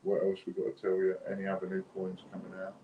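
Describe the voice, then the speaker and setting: A British man with a low, deep voice chats informally as a livestream host, wandering from one point to the next. The sound is roomy and echoing, with noticeable noise behind him. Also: monotone, fast talker. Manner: chatty, informal, rambling. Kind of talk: casual unscripted livestream chat. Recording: dull lo-fi, roomy echo, clear background noise.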